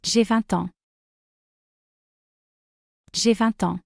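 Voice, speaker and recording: A woman slowly and carefully saying short drill phrases twice each for learners to repeat. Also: on the high side, fairly even intonation, gravelly, no room sound, clean studio sound. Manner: careful, deliberate enunciation. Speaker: pronunciation model.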